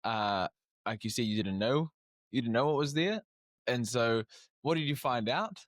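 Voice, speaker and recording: A man's voice: medium pitch, animated, dry recording, studio quality. A young man hosting a podcast interview, talking casually and stopping often to restart his sentences. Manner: halting, many restarts and fillers. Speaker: podcast host.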